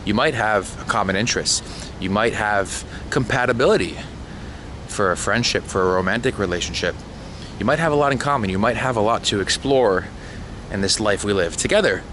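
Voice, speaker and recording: A man's voice: fairly low pitch, brisk, noisy background. A man talking casually into his camera as a travel vlogger, laid-back and sometimes swearing. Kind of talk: casual travel vlog with chat.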